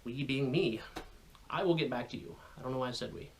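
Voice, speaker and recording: A man's voice: medium pitch, brisk, slight background noise. An American man hosting an informal hobbyist explainer video, speaking in a folksy, plain-spoken, colloquial way. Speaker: radio hobbyist video host.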